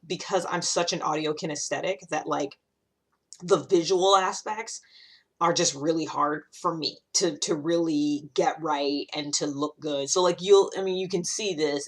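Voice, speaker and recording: A woman talking casually in a solo explainer vlog, thinking aloud and often checking in with short rhetorical questions. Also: low-pitched. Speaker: YouTube vlogger.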